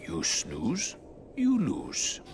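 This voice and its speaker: A man voicing an old, wise rat sensei in a video game, a fatherly mentor who speaks in a measured, formal way. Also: mid-range voice, wildly swooping pitch, background noise, heavily acted. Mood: calm, sage. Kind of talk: video game cutscene acting.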